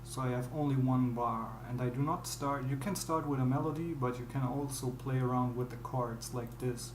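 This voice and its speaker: A young man with a German accent, a non-native English speaker, explaining step by step in a halting, word-searching way how he builds a beat at his computer. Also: medium pitch, fairly even intonation, a little hiss or hum. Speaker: music producer. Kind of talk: screen-recorded beatmaking tutorial. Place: dim small room at home. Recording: roomy with piano playing behind.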